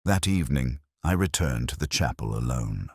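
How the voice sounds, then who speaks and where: A man giving scripted first-person detective narration in a measured, reflective, solemn manner. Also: a bass voice, lively intonation, darkish tone, breathy edge, no room sound, clean studio sound.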